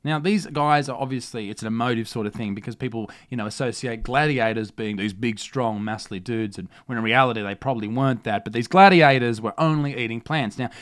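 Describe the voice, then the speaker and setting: An Australian man delivers a mock news rant in a mid-pitched voice. He is blunt and mocking and swears freely as he comments. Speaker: comedy news commentary host.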